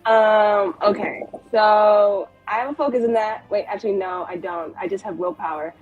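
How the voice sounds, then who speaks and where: A woman's voice: medium pitch, steady tone, moderate pace, narrow, tinny audio, soft background music. A woman playing a tabletop game, chatting casually in a self-deprecating, sweary way.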